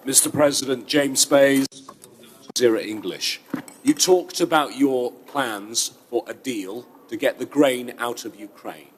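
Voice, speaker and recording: A man's voice: medium pitch, measured pace, a little hiss or hum. A male reporter asking a direct, composed question at a press conference. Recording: echoey hall.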